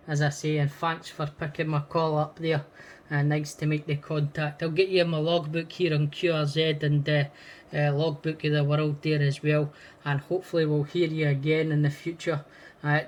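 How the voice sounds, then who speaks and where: A man with a Scottish accent chatting casually as an amateur radio operator, friendly and repeating phrases for emphasis. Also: fairly high pitch. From Scotland. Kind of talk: casual radio contact chat.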